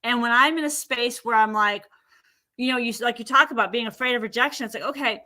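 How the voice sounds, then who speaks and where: A woman guest in a casual talk-show chat, speaking in a lively way and acting out what others say. Her voice is high and bright, with a sharp edge to it. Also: fast talker. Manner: animated, acts out quoted speech.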